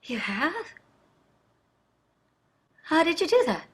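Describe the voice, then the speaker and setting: A woman's voice: sits high, big pitch swings, takes it slowly, full character voice. A woman acting a wealthy, restrained wife with a brittle, clipped politeness in a play reading. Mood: quietly bitter. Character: wealthy, restrained, quietly bitter wife named Betsy. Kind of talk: staged play reading over video call.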